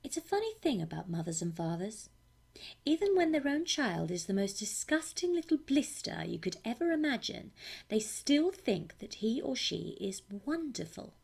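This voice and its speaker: Woman reading a story aloud, measured but expressive, husky with some fry. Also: on the high side. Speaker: voice actor narrating demo reel. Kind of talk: scripted audiobook and monologue narration.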